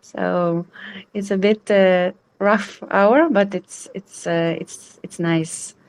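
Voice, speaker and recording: A woman's voice: mid-range voice, fairly even intonation, slow, smooth. A woman in her thirties from Israel, hosting an online support group in a welcoming, reassuring way over a video call. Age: 30s.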